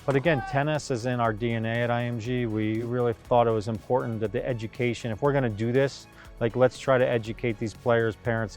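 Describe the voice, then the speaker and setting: A man speaking in an interview as a tournament organiser, emphatic and repeating phrases to stress his points. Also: medium pitch. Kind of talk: interview talk.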